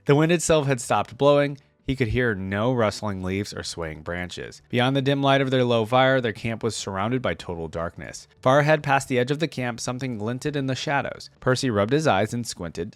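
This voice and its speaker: A man hosting a reaction video, reading text aloud and commenting casually as he thinks out loud. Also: medium pitch, lively intonation, normal speed, dry recording.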